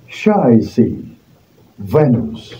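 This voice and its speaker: A man's voice: fairly low pitch, huge pitch swings, extremely slow, mellow, faint music behind. A man working as a radio drama announcer, delivering a scripted introduction to a story. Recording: dull lo-fi studio capture.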